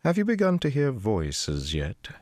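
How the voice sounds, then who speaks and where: A man voicing an older woman sorceress who speaks slowly, in a measured and unshakably composed way. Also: lowish voice, sing-song, no echo, clean studio sound, pitched above the performer's own voice. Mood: calm.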